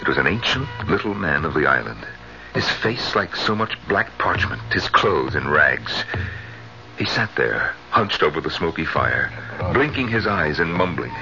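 Jealous middle-aged husband, wildly varied pitch. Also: male voice, sing-song, moderate pace, sharp tone, exaggerated voice. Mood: tense, subdued. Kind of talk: 1970s radio drama acting. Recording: dull vintage radio recording, music behind.

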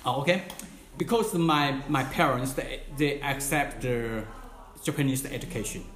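An older Taiwanese man telling his story in careful, halting English, often restarting his sentences. His voice sits at a middling pitch. The room around him rings with a noticeable echo. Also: a bit bright. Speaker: interview guest. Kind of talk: casual conversational storytelling.

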